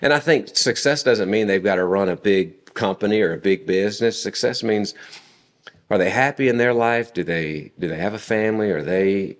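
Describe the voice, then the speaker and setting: A very low-pitched man in his forties speaks as a podcast guest. He tells stories about his family in an unhurried, conversational manner, often pausing on fillers. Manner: relaxed storytelling with frequent fillers. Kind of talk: casual conversation.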